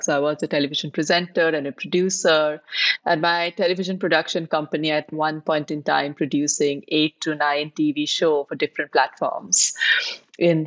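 Woman on a muffled video call. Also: low voice. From India. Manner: reflective, many filler ums and uhs. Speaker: guest. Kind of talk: conversational storytelling.

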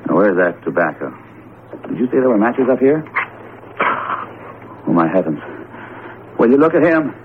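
Male academic narrator, radio play: deep, wry, measured, faintly noisy broadcast. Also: big pitch swings, phone-quality sound. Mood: bemused. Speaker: radio drama actor. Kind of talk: 1970s radio drama acting and narration.

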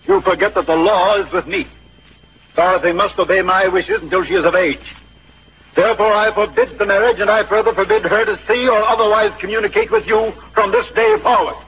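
A man's voice: sits high, fairly even intonation, a little fast, natural voice. An actor playing a stern, protective, refined father in a radio drama, speaking in a formal, imperious way. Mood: stern, unyielding. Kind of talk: 1950s radio drama acting. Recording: muffled, noisy old radio recording.

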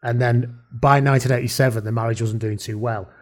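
A man's voice: medium pitch, steady tone, fairly quick, darkish tone. A man in his forties with a Lancashire accent, hosting a casual chat and telling a personal story with tangents and self-deprecating asides. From Lancashire, England. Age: 40s. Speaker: podcast host.

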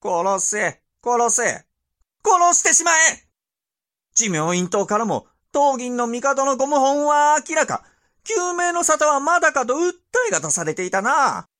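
An actor playing an imperious, impatient and suspicious medieval Japanese ruler in a period audio drama, with theatrical, drawn-out emphasis. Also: male voice, very high voice, very animated, sharp tone, heavily acted. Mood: impatient, ruthless. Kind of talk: period radio drama acting in archaic speech.

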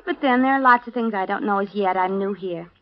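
A woman's voice: medium pitch, a little fast, close to an everyday voice. An actress playing a chatty, nosy young female maid with a wry, colloquial way of talking, in a vintage radio drama. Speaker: personal maid. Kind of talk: 1950s radio drama acting. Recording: dull lo-fi vintage radio broadcast.

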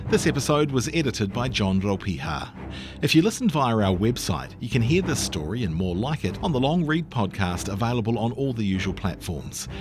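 A man announcing a scripted podcast outro and credits. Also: fairly low pitch.

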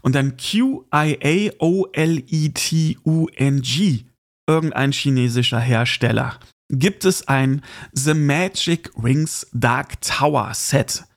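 A man's voice: mid-range voice, slow pace, gravelly. A man hosting a casual solo podcast, chatting informally and speaking directly to the listener. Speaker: podcast host. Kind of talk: casual solo podcast monologue with gift tips.

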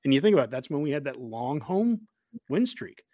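A man's voice: mid-range voice, big pitch swings, fairly quick, warm tone, no room sound, studio quality. A man in casual sports chat, making his points emphatically and anecdotally as a fan show host.

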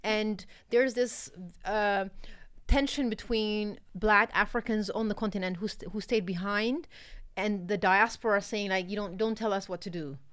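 A woman from Ethiopia talks thoughtfully on a podcast, pausing to search for the right words. Her voice sits at a middle pitch. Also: steady tone, a bit bright, clear, resonant tone. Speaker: podcast co-host. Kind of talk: podcast conversation.

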